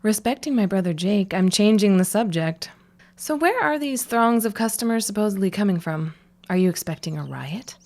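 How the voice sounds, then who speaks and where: An actress playing a sarcastic, dry-humored grown daughter in a period audio drama. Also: female voice, medium pitch, lively intonation, moderate pace, a little mellow, natural voice. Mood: wry, exasperated. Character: wry, sarcastic grown daughter stuck in family store.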